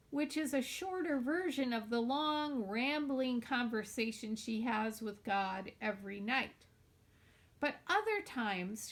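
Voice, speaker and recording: A woman reading a children's novel aloud in a deliberate, expressive storytelling manner, like a teacher with a class book. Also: high voice.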